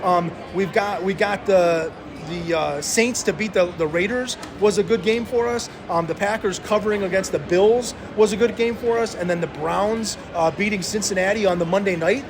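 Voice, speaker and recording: A man's voice: high-pitched, moderate pace, hardly any pauses, background noise. A man co-hosting a sports betting podcast, talking through games casually with frequent hesitations.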